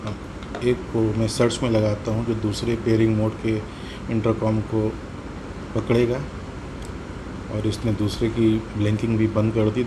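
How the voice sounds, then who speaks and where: Indian man demonstrating gear over background noise. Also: on the low side. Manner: explanatory, step-by-step, repeats points. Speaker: host of a motorcycle gear tutorial. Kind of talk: hands-on product demonstration.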